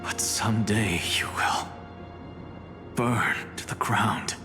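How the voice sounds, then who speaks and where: A man voicing a shrewd companion in a fantasy video game, with a dramatic, expressive delivery. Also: fairly low pitch, takes it slowly. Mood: grim. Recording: long reverberant hall effect, music behind.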